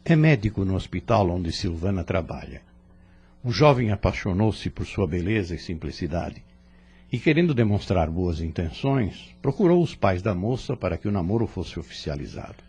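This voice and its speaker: A man playing a wise, serene spirit instructor in an audio drama, teaching in a solemn, measured way. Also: sits low, sing-song, darkish tone, slightly rough, heavily acted. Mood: serene, explaining. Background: music.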